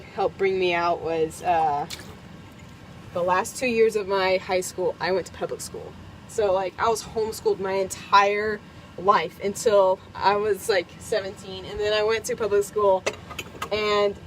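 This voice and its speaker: A woman's voice: mid-range voice, noisy background. Bubbly giggly young woman. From Kentucky, USA. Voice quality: bubbly, giggly, lots of fillers. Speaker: vlogger. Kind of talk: casual chat while cooking. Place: camper kitchen.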